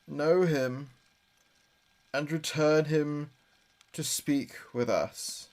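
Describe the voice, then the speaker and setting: A grieving son, acted by a man, speaks extremely slowly in a slightly high male voice. His solemn, halting invocations carry desperation, in the manner of a horror audio drama séance. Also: fairly even intonation, a little mellow, poor audio. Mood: solemn, intense.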